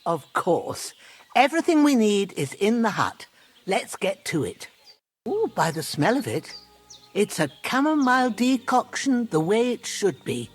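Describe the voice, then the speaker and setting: A woman's voice: medium pitch, big pitch swings, measured pace, slightly rough. A woman playing a kindly, grumbling old village herbwoman in a fantasy video game, with folksy rustic speech. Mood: kindly, encouraging. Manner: folksy, rustic, plain-spoken. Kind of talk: dramatic video game acting.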